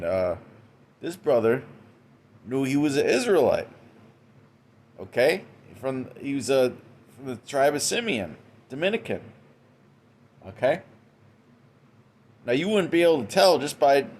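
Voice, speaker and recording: A man's voice: on the low side, slight background noise. Man preaching, ending lines with okay?. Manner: tags almost every sentence with "okay?" and "man"; frequent restarts and repeated words. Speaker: religious commentator. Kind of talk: informal preaching monologue. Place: indoors, Western New York.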